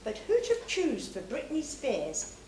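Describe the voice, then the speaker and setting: A woman's voice: a little high, huge pitch swings, unhurried, mellow. A British woman playing a breezy fairy godmother in rhyming stage pantomime. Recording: distant echoey stage, very noisy tape.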